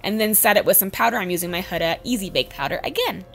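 A woman in her twenties with a slightly hoarse voice, giving a casual, chatty makeup tutorial voice-over. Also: medium pitch, quick pace. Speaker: beauty vlogger, host. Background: background music.

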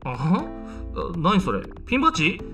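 A man acts out a high school boy in a voice drama, casual and sceptical, quick to exclaim. His high voice swings widely in pitch, heard slightly away from the mic with music behind. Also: huge pitch swings, fairly bright tone, recorded from a distance, heavily acted. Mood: flippant. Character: flustered, flippant male high school student. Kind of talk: amateur voice drama acting.

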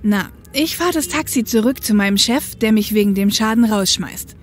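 A woman's voice: mid-range voice, lively intonation, moderate pace, close to an everyday voice. A woman playing a tough, upright, street-wise policewoman in an audio drama, speaking matter-of-factly. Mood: reminiscing. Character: tough, upright working-class policewoman and ex-biker, grown woman. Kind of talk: German audio drama acting.

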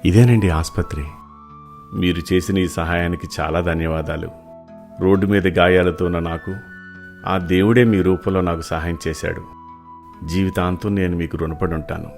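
A man playing a wealthy, kindly gentleman in an animated moral story, speaking politely and with measured care. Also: sits low, creaky, soft background music. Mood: grateful.

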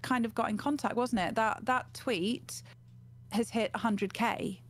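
A woman's voice: fairly high pitch, measured pace. A woman in her forties talking casually as an interview guest, telling anecdotes in a conversational way. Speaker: guest, gaming streamer. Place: small home room, indoors, on a video call. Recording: clear background noise with hum.

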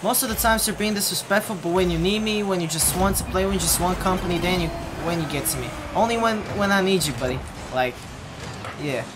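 A man's voice: a little fast, noisy background. Young man streaming, blunt and mumbling. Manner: blunt, talks back to chat, low mumbled asides. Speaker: game streamer. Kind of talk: casual live-stream chat while playing. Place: home room at a desk. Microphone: headset. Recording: game music playing loudly underneath. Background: game music.

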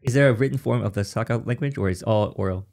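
A man's voice: fairly low pitch, fast, vocal fry, a little mellow. A man hosting a podcast interview, asking questions and rephrasing as he goes. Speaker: podcast host. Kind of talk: conversational interview.

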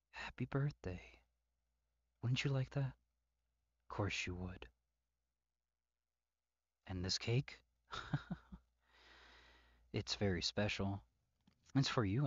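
A man playing a possessive, psychopathic captor lover in an intimate audio roleplay, mockingly sweet and taunting, moving between softness and sharpness. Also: medium pitch, no echo, muffled recording. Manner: drawn-out, taunting, swinging between soft whispers and sharp outbursts.

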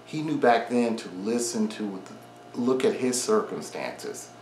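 A man's voice: on the low side, animated, unhurried, darkish tone, reverberant, soft background music, poor audio. A man in his forties giving earnest training advice straight to the camera from his home garage gym, repeating his key points in an encouraging way. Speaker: fitness vlogger. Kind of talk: direct-to-camera advice talk.

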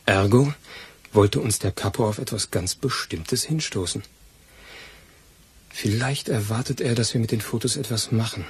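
A man's voice: on the low side, moderate pace, pauses often, slightly rough. An actor playing an eager, deferential police detective who spins wordy theories to his boss in a German radio crime play. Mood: probing.

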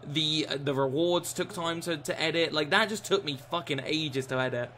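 A young man with a croaky, bright, forceful voice, talking over his gameplay and answering chat. His pitch sits a touch high, and a faint hum of room ambience lies under him. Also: normal speed. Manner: swears often, shouts and laughs at the game. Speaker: gaming streamer. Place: small room indoors.

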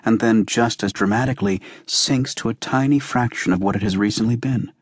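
A man reading a novel as an audiobook narrator, with measured, deliberate phrasing. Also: fairly low pitch, husky, no room sound, clean studio sound. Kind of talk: scripted literary narration.

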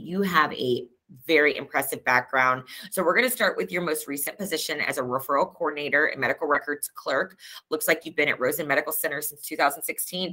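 Brisk upbeat recruiter woman. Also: low-pitched, bright, forceful tone, low-quality recording. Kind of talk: job interview questions. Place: office indoors. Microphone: video call.

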